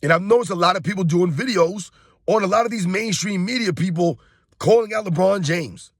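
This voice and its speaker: A hoarse man in his thirties, a sports YouTuber delivering a casual, emphatic opinion rant straight to his viewers and calling them guys. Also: high voice, fairly quick, no room sound, studio quality.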